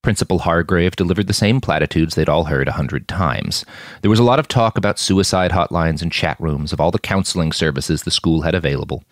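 A man's voice: low-pitched, brisk, no echo, studio quality. A man reading scripted fiction as the narrator of an audiobook-style podcast.

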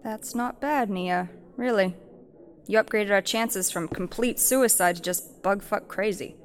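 Actress as brash, pragmatic gang boss. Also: female voice, mid-range voice, measured pace, a little hiss or hum, close to an everyday voice. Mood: wry, commanding. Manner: blunt, slangy, take-charge. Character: brash, pragmatic female gang leader planning a heist. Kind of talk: fantasy audio drama acting.

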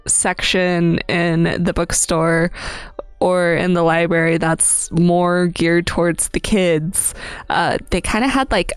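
A woman's voice: fairly low pitch, smooth, no echo. A woman co-hosting a casual podcast, rambling a little with lots of "like" and "um" as she tells stories. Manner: relaxed, rambling, lots of fillers like "like" and "um". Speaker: podcast co-host.

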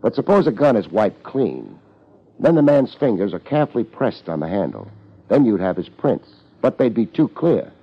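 A man acting a laid-back, dryly wry private detective in deadpan 1950s radio drama style. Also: on the low side, moderate pace, slightly rough, heavily acted. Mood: quiet, probing. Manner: deadpan, understated. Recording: muffled 1950s radio recording.

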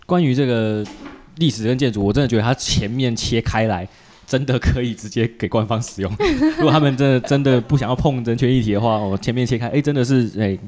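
A man with a Taiwanese Mandarin accent and a medium-pitched voice hosts a casual chat. He is reactive, often doubling up words and dropping in interjections as he asks and comments. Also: animated, quick pace, darkish tone. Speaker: podcast host.

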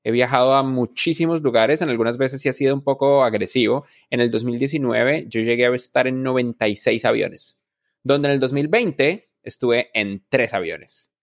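A man's voice: mid-range voice, moderate pace. Man musing on experiences. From Colombia. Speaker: guest. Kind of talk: casual interview talk.

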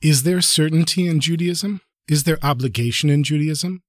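A man's voice: on the high side, moderate pace, dry recording, studio quality. A man interviewing in a conversational way, asking probing questions and short follow-ups.

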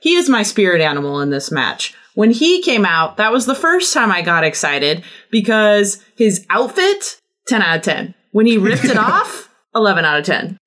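A woman's voice: mid-range voice, very animated, normal speed, fairly bright tone. A woman guesting on a podcast, chatting casually with blunt, opinionated, wry remarks. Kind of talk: casual chat.

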